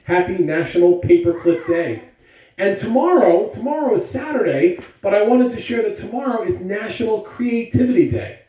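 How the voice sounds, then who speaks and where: A man's voice: high-pitched, animated, normal speed, warm tone. Echoey male school announcer and story reader. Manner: addresses children simply and directly. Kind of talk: school morning announcements and read-aloud to children. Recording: echoey room, distant mic, faint noise.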